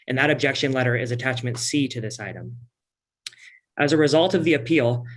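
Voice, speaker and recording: A man from county staff giving a steady, formal briefing of an item's background. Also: mid-range voice, low-quality recording. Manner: steady, reading a summary.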